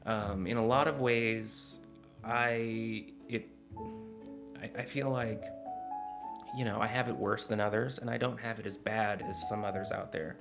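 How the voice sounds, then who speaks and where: A man in his forties with a low, gravelly voice speaks earnestly in a casual vlog, full of fillers and restarts. He sits close to a desk mic in a small dim room at home. Guitar music plays clearly under his talk. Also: steady tone, unhurried. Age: 40s. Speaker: vlogger. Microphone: desk mic in front, close camera framing.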